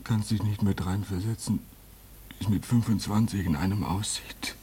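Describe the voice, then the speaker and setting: A man's voice: on the low side, steady tone, moderate pace, mellow, a little hiss or hum, natural voice. An actor playing a troubled man in his twenties, challenging his elder in a German radio play. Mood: doubting. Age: 20s.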